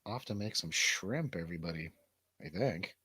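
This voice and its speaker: A man's voice: low voice, animated, normal speed, bright, forceful tone, breathy edge, dry recording. A middle-aged man hosting a casual live stream in informal, sometimes sweary chat. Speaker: show host.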